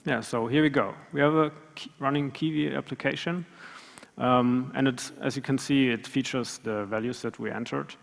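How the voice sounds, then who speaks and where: A man's voice: mid-range voice, steady tone, moderate pace, gravelly. A man giving a live coding demo at a conference, talking hesitantly with many fillers and restarts. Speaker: conference speaker. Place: large conference room. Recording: long hall reverb.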